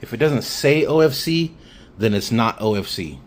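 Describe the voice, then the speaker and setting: A man's voice: medium pitch, lively intonation, normal speed. A man giving a casual hands-on explanation in a plainspoken, matter-of-fact way, recorded on a phone. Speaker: hobbyist demonstrating radio wiring. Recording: phone recording with background noise.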